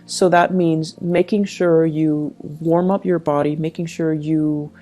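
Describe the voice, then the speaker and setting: A woman's voice: low-pitched, steady tone, slow pace, mellow, soft background music. A woman giving a tarot reading to her viewers, speaking in a reassuring way and often checking in with the listener. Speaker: tarot reader.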